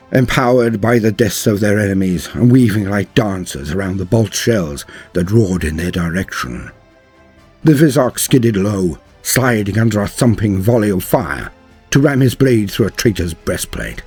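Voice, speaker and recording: Male narrator for epic fantasy lore: low, rough-edged voice, grave and theatrical, over music. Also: a little mellow. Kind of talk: scripted dramatic storytelling narration.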